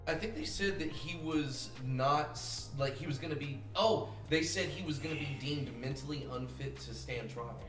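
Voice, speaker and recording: A man's voice: on the high side, normal speed, few pauses, music in the background. A man appearing as a guest on a comedy podcast, laid-back and drawling, peppering his talk with 'bro' and 'man'. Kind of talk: casual comedic podcast banter.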